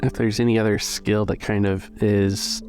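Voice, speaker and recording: A man acting as game master, narrating an improvised tabletop game in measured phrases with pauses between them. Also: on the low side, level tone, darkish tone. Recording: music bed behind the voice.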